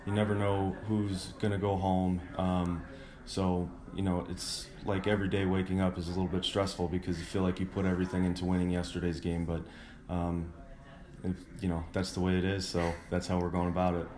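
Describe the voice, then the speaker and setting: Man, baseball manager, low pitch, monotone, halting casual interview answers, roomy echo. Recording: roomy, echoing sound.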